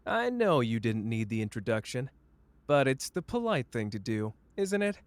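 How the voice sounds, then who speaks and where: A man's voice: mid-range voice, sing-song, normal speed, smooth, no room sound, natural voice. A man playing a cocky, flamboyant young sorcerer who teases the listener in a playful, smug roleplay audio drama. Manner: playful, smug, drawling teasing.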